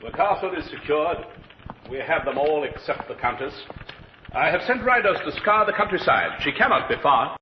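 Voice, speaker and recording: A man's voice: a little high, expressive, moderate pace, close to an everyday voice. An actor playing a stern, urgent military nobleman in a radio horror drama, with formal, declamatory speech. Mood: brisk command. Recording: dull, noisy old radio recording.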